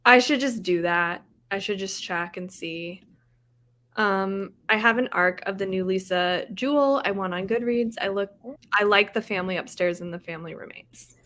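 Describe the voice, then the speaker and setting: Bright-voiced woman chatting casually. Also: medium pitch, normal speed. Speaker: host of a book livestream. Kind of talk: casual group chat.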